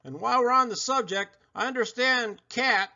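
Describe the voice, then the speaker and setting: A man's voice: very high-pitched, normal speed, pushed and bright, muffled recording, voice pushed up, exaggerated voice. A man voicing a blunt, talkative female pet dog who rambles on in a children's storybook. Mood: rambling, eager. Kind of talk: children's storybook read-aloud.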